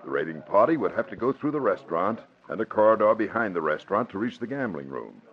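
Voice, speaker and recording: An actor playing a beleaguered, matter-of-fact police commissioner in a 1940s radio drama. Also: male voice, fairly low pitch, very animated, fast. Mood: weary, explanatory. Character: official, beleaguered male police commissioner explaining his limits. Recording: dull old radio recording.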